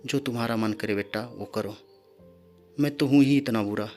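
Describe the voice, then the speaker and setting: A man's voice: fairly low pitch, moderate pace, darkish tone, pitched below the performer's own voice, natural voice. A man voicing a domineering middle-aged father in a story, with an insistent manner. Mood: hurt, sulking. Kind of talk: storybook character dialogue. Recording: background music under the voice.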